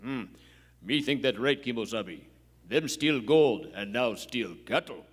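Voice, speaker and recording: A loyal, stoic tracker sidekick acted by a man in a stage radio Western. He talks in short, broken phrases with low grunts at a medium pitch. The theatre adds a long echo. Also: animated, measured pace, husky. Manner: clipped broken English, terse, grunting hums. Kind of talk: live Western radio play acting. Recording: echoey theatre hall.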